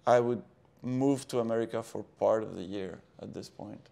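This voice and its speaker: Gravelly-voiced man, halting talk. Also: fairly low pitch, dark tone. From Belgium. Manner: halting, restarts often. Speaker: comedian guest. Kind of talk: casual interview. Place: indoor studio set with table. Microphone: lapel mic.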